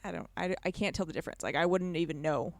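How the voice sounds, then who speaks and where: A woman co-hosting a podcast, talking about video games in a blunt, informal, animated way. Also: on the low side, quick pace, dry recording. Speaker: podcast co-host. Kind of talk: casual video game discussion.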